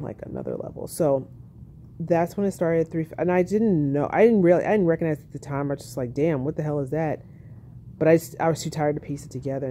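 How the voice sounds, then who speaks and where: A young woman, a tired new mother, telling her birth story in a casual, monotone vlog at home, speaking softly with a baby on her chest. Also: a little hiss or hum. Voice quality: monotone, low-key.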